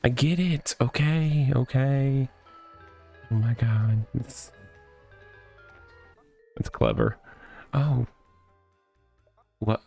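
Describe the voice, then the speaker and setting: A man's voice: mid-range voice, fairly even intonation, drawn-out words, vocal fry, pauses often, warm tone. A man giving casual, off-the-cuff commentary as he plays through a game. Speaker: gaming playthrough host. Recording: music behind the voice.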